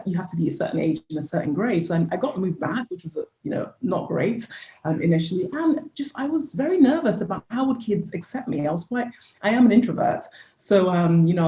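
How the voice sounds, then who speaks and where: Reflective English-accented woman, echoey sound. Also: fairly low pitch. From Nigeria. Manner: reflective, open, warm storytelling with soft laughs. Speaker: podcast guest. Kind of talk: candid life-story interview talk. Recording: echoey room, dull lo-fi sound.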